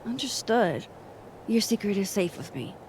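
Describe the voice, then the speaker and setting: A woman's voice: medium pitch, expressive, normal speed, a little mellow, a little hiss or hum, natural voice, poor audio. A woman playing a wry, playful young woman in a naturalistic video game, casual and teasing. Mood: wry, enthusiastic.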